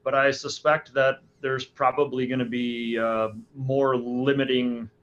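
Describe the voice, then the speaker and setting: Bright-voiced man in his forties, lo-fi. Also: mid-range voice, little pitch movement, a little slow. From Alaska. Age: 40s. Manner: hesitant, many restarts and fillers. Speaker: hunting guide. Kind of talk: casual podcast interview answers. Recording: dull lo-fi sound.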